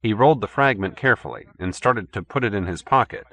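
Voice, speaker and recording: A man's voice: on the low side, animated, fast, sharp tone. A man reading scripted fiction as an audiobook narrator.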